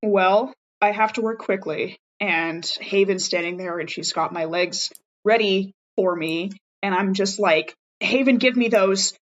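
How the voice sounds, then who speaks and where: Muffled-ish mic, lady player in a tabletop game, chatty, animated, thinking out loud. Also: medium pitch, unhurried. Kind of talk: casual improvised roleplay chat.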